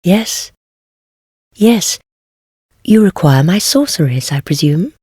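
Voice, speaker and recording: A woman playing a wry, world-weary heretical witch in a fantasy game, speaking in measured, archaic phrases with gentle teasing. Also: lowish voice, lively intonation, dry recording, studio quality, exaggerated voice. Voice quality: husky, breathy. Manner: measured, archaic formal speech, softly teasing. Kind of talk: scripted fantasy game voice acting.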